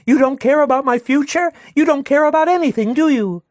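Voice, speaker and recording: Guy doing an enraged young woman, shrill and jeering, close dry mic. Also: brisk, a little mellow, no room sound. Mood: accusing. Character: furious young working-class woman, shrill and scornful.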